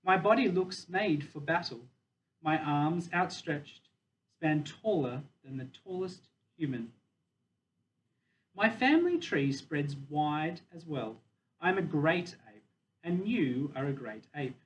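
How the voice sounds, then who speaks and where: A dignified, wistful captive silverback gorilla read by a man tells his story in a measured, deliberate way. The voice sits slightly high and comes from a little way off the mic, with faint noise behind it. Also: distant mic, low-quality recording. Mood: proud, dry. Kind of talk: children's novel read aloud in first person.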